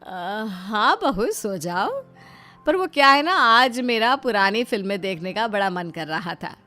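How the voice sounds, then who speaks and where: A woman's voice: medium pitch, animated, a little slow, sharp tone, faint music behind. A woman voicing a middle-aged mother-in-law in a family story, caring and hesitant yet slyly demanding. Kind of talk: dramatized Hindi family storybook.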